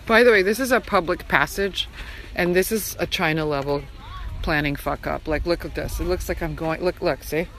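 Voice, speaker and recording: A woman's voice: on the low side, normal speed. A woman vlogging casually to her followers in a candid, opinionated, emphatic way, on a noisy handheld recording. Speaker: vlogger filming social media stories. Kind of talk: casual chatty phone vlog narration. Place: on the go, around a tennis centre.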